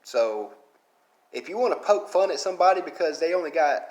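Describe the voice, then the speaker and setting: Southern man with a thick Alabama accent, casual vlog rant, fairly high-pitched, recorded in a roomy space. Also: expressive, a little fast, a little mellow. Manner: rambling, heavy "you know" fillers, fired up. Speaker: mobile game player.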